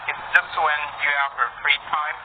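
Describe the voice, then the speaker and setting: A man's voice: fairly high pitch, flat delivery, moderate pace. A man talking casually and hesitantly, with many fillers, in a voice message. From Indonesia. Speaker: discussion moderator. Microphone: phone voice message. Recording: phone-like audio with clear noise.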